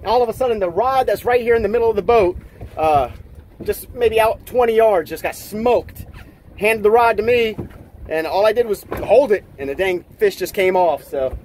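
Upbeat high-voiced man narrating from a boat. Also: moderate pace, darkish tone, a little hiss or hum. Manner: upbeat, narrates while fighting fish, exclamations. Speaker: fishing vlogger. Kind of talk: excited fishing narration. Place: small fishing boat offshore, outdoors. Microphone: action camera, sometimes selfie at arm's length.

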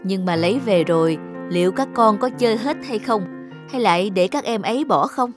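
A woman voicing a gentle father in a cartoon, explaining things patiently to young daughters. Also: medium pitch, animated, normal speed, music in the background, close to an everyday voice. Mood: patient, questioning. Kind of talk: acted cartoon dialogue.

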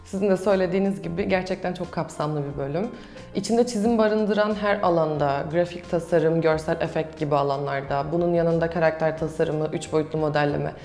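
A woman's voice: deep voice, brisk, a little mellow. A young adult woman, a student answering questions in a promotional interview. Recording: roomy echo with music behind. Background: background music.

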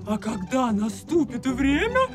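A woman voicing a kind, gentle teacher puppet in a children's puppet show. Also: fairly high pitch, very animated, unhurried, over music. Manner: gentle, instructive.

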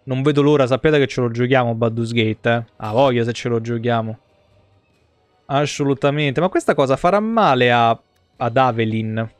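A man's voice: medium pitch, moderate pace, dry recording, faint music behind. A man, giving casual, slangy live gameplay commentary as a streamer. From Italy. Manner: colloquial, slangy. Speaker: game streamer. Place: indoor streaming room.

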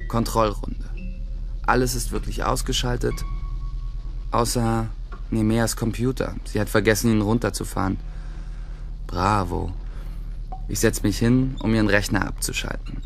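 A man's voice: lowish voice, fairly even intonation, measured pace, vocal fry, pauses often, faint music behind. An actor playing a cocky, computer-savvy teenage schoolboy who narrates his day in a radio drama. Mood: tempted, excited.

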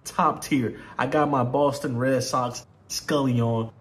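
A man's voice: medium pitch, fairly even intonation, slow pace, a little hiss or hum. A man from Louisiana, giving laid-back, profane let's-play commentary. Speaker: gaming channel host.

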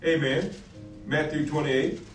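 A man's voice: fairly high pitch, fairly even intonation, slow. A middle-aged man preaching a sermon as a pastor, asking and answering his own questions, repeating phrases and punctuating them with amens. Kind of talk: sermon, preaching with scripture readings. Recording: roomy, distant mic, faint music behind.

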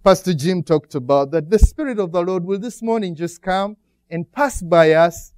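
Man, Ugandan accent, guest preacher, fairly high pitch, smooth resonant voice, frequent pauses, church sermon. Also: darkish tone, no room sound, studio quality. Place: speaking to a congregation in a church.

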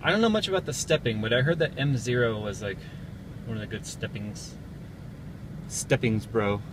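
A man's voice: mid-range voice, normal speed. An American man in his thirties chatting casually and jokingly as a vlog host, in slangy everyday speech. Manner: jokey, slangy. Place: mostly inside a car. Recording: noisy car interior, road noise.

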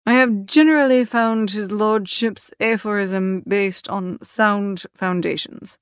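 A woman reading the part of a polished, deferential gentleman's manservant in a formal, measured manner for an audiobook. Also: medium pitch, clear, resonant tone, no room sound, studio quality, lightly acted. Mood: measured, composed.

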